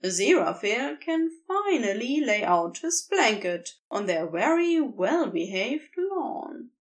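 Slow, very lively higher-pitched woman narrator. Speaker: podfic reader. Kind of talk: scripted story narration.

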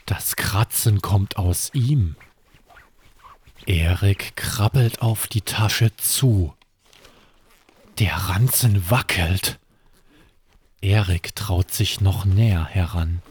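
Slow, low-voiced man reading bedtime stories. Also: a little mellow, breathy edge. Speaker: podcast host and storyteller. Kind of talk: scripted bedtime story narration for children.

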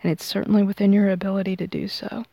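A woman hosts a tarot reading in a gravelly, mellow voice at a middling pitch. She explains things in measured, unscripted phrases. The sound is close and dry, with very little room to it. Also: flat delivery, no room sound. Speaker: tarot reader and podcast host.

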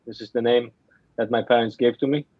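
A man who grew up in Afghanistan and Germany, a mental training coach telling his story haltingly in an interview, often restarting his sentences. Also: mid-range voice, steady tone, no echo, muffled recording. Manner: halting, searching for words, with many restarts. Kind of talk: casual interview talk.

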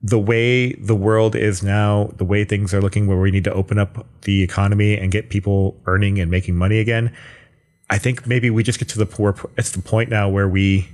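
Low-voiced man chatting on a podcast. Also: flat delivery, normal speed, darkish tone. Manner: rambling, with frequent restarts and joking asides. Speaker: podcast co-host. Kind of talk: casual chat. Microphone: desk mic pointed upward at his mouth.

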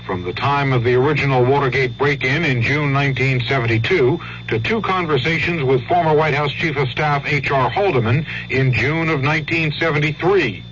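A man's voice: medium pitch, normal speed, hardly any pauses, a bit bright. Male correspondent, muffled radio report. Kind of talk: scripted news report. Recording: dull noisy 1970s AM radio recording.

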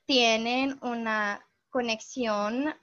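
A young woman whose first language is English, talking slowly and thoughtfully in learned Spanish as a podcast guest, with many "am" fillers and restarts. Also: a little high, steady tone, a bit bright, dry recording. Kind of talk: casual conversation in learned Spanish. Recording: dull, dry lo-fi recording.

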